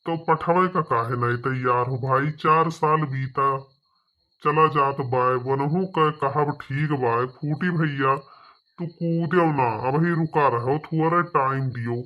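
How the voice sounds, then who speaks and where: Guy doing a preachy village elder in a cartoon, talks slow, reasons with folks, eastern up accent, dull audio. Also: fairly high pitch. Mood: reproachful, reasoning. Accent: eastern Uttar Pradesh. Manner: admonishing, reasoning. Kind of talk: cartoon comedy acting.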